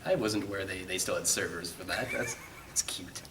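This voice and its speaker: A man voicing a condescending gamer snob with quiet, halting, falsely polite speech. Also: sits low, pitched below the performer's own voice, natural voice. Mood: smug, patronizing. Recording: echoey room, distant mic, faint noise.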